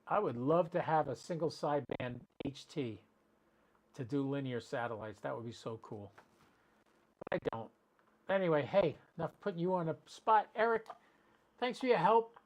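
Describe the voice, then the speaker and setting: A man's voice: fairly high pitch, lively intonation, moderate pace, a little hiss or hum, low-quality recording. A man chatting casually and rambling as he hosts an amateur radio livestream, talking directly to his viewers.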